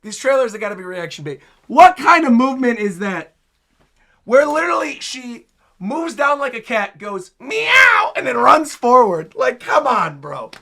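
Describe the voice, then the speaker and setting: A man giving casual, excitable reaction commentary as a streamer, with slangy exclamations. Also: very high-pitched, normal speed. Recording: trailer music behind the voice.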